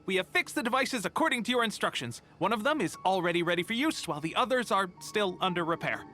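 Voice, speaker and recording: An actor playing an eager, deferential desert mercenary technician in a video game. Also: male voice, high voice, animated, fast, bright, forceful tone, faint music behind. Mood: eager, respectful. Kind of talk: video game cutscene voice acting.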